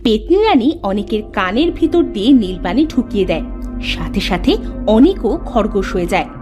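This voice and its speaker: A woman's voice: medium pitch, big pitch swings, normal speed. A woman storyteller reading scripted narration for a cartoon fairy tale. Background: background music.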